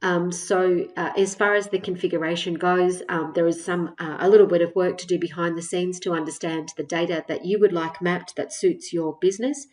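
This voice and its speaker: A woman presenting a software tutorial as a step-by-step walkthrough, explaining in a measured way with frequent hesitations. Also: fairly low pitch, fairly even intonation. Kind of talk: screen-share product walkthrough, semi-scripted narration.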